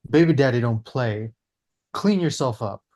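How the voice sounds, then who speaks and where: Exuberant buddy with tough-guy swagger, male actor, animated and bouncing intonation. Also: medium pitch, measured pace, close to an everyday voice. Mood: cocky. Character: cocky, exuberant buddy newly acting tough. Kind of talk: table read acting.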